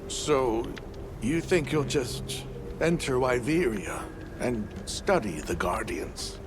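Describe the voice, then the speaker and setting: An actor playing a solemn, protective older keeper and guide in a video game, speaking in a grave, measured way over music. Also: male voice, medium pitch, animated, noisy background. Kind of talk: dramatic video game dialogue.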